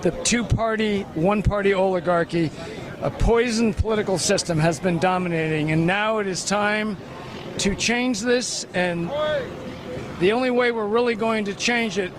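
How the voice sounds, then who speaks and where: Male activist, high voice, hesitant, crowd noise behind. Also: normal speed. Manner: hesitant, with many ums. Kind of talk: street interview.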